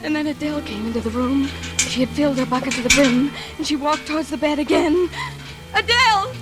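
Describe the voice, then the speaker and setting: An actress playing a terrified woman in an old radio thriller, with breathless, halting, melodramatic delivery. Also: sits high, animated. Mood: desperate pleading. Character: terrified woman recounting her mad sister's deadly menace. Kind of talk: 1940s radio suspense acting, dramatic first-person narration. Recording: old radio drama, music behind.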